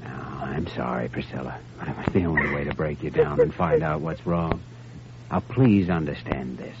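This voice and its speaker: An actor playing an earnest, compassionate young doctor with a probing manner, in vintage radio drama style. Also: male voice, a bass voice, moderate pace. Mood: gently reassuring. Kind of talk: 1950s radio drama acting. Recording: muffled, faintly noisy vintage radio recording.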